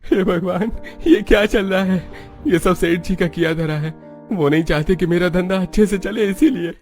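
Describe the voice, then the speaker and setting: A man's voice: high voice, normal speed, a little mellow, soft background music, voice pushed up, lightly acted. A man voicing a hardworking, anxious and submissive young pizza vendor in a cartoon story. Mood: distressed.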